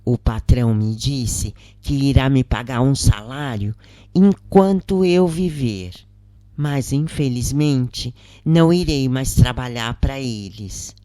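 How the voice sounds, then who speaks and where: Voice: medium pitch, takes it slowly, warm tone, no echo, natural voice. A narrator voicing a worried, loving mother who pleads and confides in an audio story. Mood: confiding. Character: worried, loving working-class mother of prisoner.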